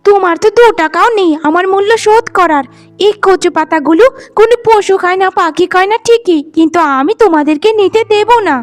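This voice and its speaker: Extremely high-pitched spiteful village woman played by a woman, cartoon, bossy, taunting, scolding, threatening. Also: fairly quick, faint music behind. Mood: scornful, threatening. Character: spiteful, bossy younger village woman guarding her pond. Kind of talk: cartoon voice acting.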